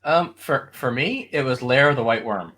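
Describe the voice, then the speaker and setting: A man chatting casually on a podcast panel, agreeable and quick to affirm others. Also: medium pitch, animated, pushed and bright. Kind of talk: casual chat.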